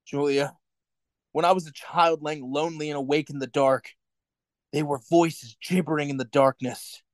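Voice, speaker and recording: Anxious, earnest man, acted, lightly high voice. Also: steady tone, normal speed, no echo, studio quality, close to an everyday voice. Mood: haunted. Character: Winston, anxious, earnest man in forbidden love. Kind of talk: radio drama acting.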